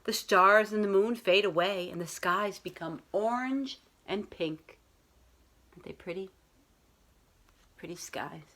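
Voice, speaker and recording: A woman's voice: mid-range voice, unhurried, long pauses, a bit bright. A woman reading a picture book aloud as a school librarian, talking to young children in simple, explanatory phrasing.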